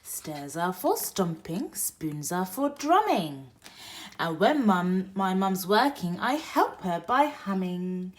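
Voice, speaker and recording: A woman reading a picture book aloud for toddlers, expressive, moving between hushed whispers and loud exclaims. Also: lowish voice, takes it slowly. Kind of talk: read-aloud bedtime story for toddlers.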